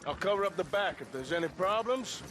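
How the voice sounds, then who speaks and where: An actor in his thirties playing a gruff, rugged outlaw with a dry, laconic drawl, in a game cutscene. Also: high voice, animated, fairly quick, noisy background. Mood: commanding.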